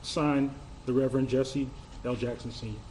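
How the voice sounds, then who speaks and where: A man's voice: mid-range voice, fairly even intonation, moderate pace, a little mellow. A man reading a statement aloud formally as an attorney in a courtroom. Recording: noisy courtroom audio feed.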